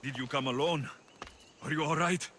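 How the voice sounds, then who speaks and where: An actor playing a bitter, passionate young mercenary son in a dramatic video game, with a gravelly, intense delivery. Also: male voice, fairly high pitch, moderate pace, a little hiss or hum, close to an everyday voice. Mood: concerned, coaxing. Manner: intense, swinging from hushed to shouting.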